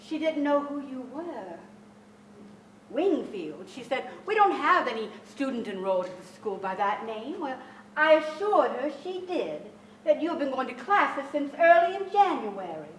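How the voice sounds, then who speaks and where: A woman's voice: sits high, very animated, moderate pace, roomy sound, distant mic, a little hiss or hum, rough recording. An actress playing an anxious, overbearing middle-aged mother in a staged drama, theatrical, fretful and emphatic. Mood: wounded, accusing. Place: large theatre stage with audience, indoors.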